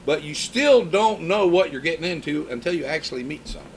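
A man's voice: fairly high pitch, animated, fairly quick, a little hiss or hum, low-quality recording. A man in his fifties preaching a sermon in a conversational way, mixing scripture with personal stories. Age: 50s.

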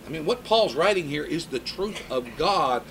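A man's voice: on the high side, expressive, normal speed, fairly bright tone, a little hiss or hum, poor audio. A man in his fifties preaching a sermon in a conversational way, mixing scripture with personal stories. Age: 50s.

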